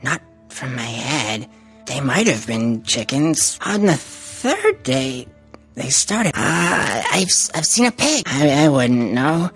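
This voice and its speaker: A man voicing a scatterbrained, drugged-out rat in a video game, halting and stammering in a spaced-out way. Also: mid-range voice, sing-song, a bit bright, breathy edge, soft background music, heavily acted. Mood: dazed, rambling. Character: dazed, drugged-out rat motel guest, scatterbrained. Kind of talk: video game character dialogue.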